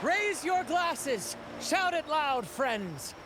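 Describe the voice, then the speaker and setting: A man's voice: very high-pitched, very animated, extremely slow, background noise, heavily acted. An actor playing a boisterous, theatrical young male carnival performer in a video game, declaiming grandly and rousingly. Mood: rousing, jubilant.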